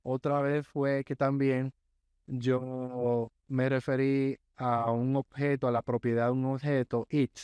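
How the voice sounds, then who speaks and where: Podcast guest guy, smooth clear voice, dry sound, calm steady storytelling, keeps asking "right?". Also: medium pitch, no echo. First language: Spanish. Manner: measured storyteller, often checks in with "right?". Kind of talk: casual interview chat.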